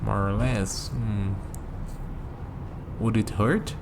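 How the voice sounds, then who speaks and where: Man acting as a curious skeptical young man, low voice, unhurried hesitant delivery, ASMR roleplay, noisy background. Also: fairly even intonation, creaky, heavily acted. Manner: hesitant, conversational, leaves pauses for unheard replies. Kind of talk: ASMR roleplay spoken to the listener.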